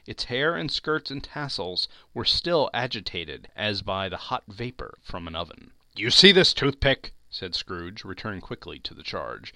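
Theatrical male narrator with animated delivery. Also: on the low side, no room sound. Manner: theatrical, expressive. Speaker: podcast narrator. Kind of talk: dramatic audiobook-style narration of a classic tale.

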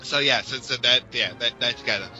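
A man's voice: medium pitch, fairly quick, highs cut off, music in the background. A British man co-hosting a podcast, chatting casually in a blunt, dryly opinionated way. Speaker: podcast co-host. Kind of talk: casual fan discussion.

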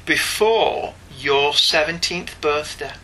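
A man's voice: on the high side, takes it slowly, sharp tone, slight background noise, rough recording. A middle-aged British man chatting casually as a podcast host, with a wry, jokey, mock-exasperated way of talking. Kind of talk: casual comic book podcast chat.

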